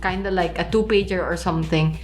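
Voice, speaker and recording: A woman's voice: fairly low pitch, steady tone, fairly quick, darkish tone. A woman in her thirties co-hosting a casual vlog, talking loosely with lots of "like". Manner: chatty, lots of fillers and "like". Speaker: vlog co-host. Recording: faint music underneath.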